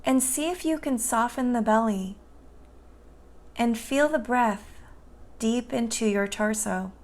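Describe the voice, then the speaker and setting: A woman guiding a meditation in a soothing, gentle and unhurried manner. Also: fairly high pitch. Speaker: meditation guide. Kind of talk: guided meditation narration.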